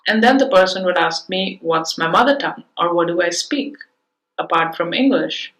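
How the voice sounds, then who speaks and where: A young woman from India, raised on Punjabi at home, giving a prepared conference talk in a reflective, measured, storytelling way. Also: on the low side, animated, fairly bright tone. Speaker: conference speaker. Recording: echoey room.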